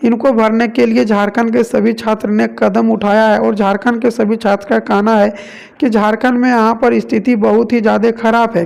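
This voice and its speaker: A man's voice: very high voice, creaky, darkish tone. A man from India narrating news reports as a presenter, leaning on repeated stock phrases.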